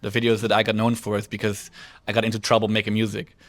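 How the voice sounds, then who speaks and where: A man co-hosting a casual podcast interview, asking questions in a halting way, often repeating words and restarting sentences. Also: lowish voice, monotone, a bit bright. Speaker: podcast co-host.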